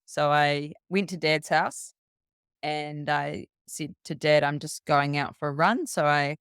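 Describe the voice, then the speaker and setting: A New Zealand woman tells a rambling, quick-moving story and keeps slipping into what people said to her. Her voice is smooth and clean, recorded close and dry with no room around it. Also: low voice, fairly even intonation, fairly bright tone, no room sound. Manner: brisk, rambling anecdote full of quoted dialogue. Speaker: podcast guest. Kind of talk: casual storytelling.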